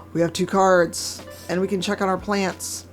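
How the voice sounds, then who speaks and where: Hoarse woman streamer chatting casually. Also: mid-range voice, a little slow, soft background music. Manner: chatty, wry, reads chat aloud. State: hoarse, croaky voice. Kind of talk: casual live-stream chat while gaming.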